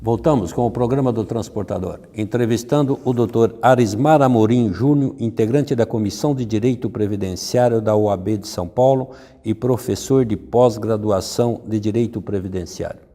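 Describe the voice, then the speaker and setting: A man's voice: fairly low pitch, moderate pace, dark tone, faint music behind. A man with a Brazilian accent hosting an informal television interview. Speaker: talk show host, interviewer. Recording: reverberant hall-like room.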